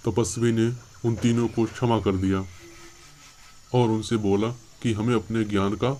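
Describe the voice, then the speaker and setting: A man with a fairly low voice narrates a scripted story for children. He works as a storyteller addressing young listeners, and there is clear noise in the recording. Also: dark tone.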